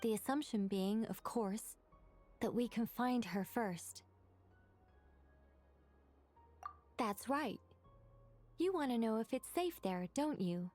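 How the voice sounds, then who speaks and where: High-voiced poised lore-keeper. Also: female voice, expressive, darkish tone. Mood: earnest. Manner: formal, measured, explanatory. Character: poised, knowledgeable young woman recounting ancient lore. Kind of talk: video game voice acting. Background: background music.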